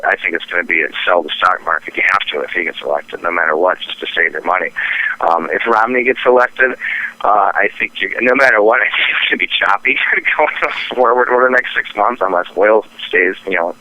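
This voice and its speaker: A man's voice: sits low, sharp tone, no room sound. A man giving market commentary as a phone interview guest, hesitant and repetitive but opinionated, on a dull phone line. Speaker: trading firm president, interview guest. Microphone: telephone.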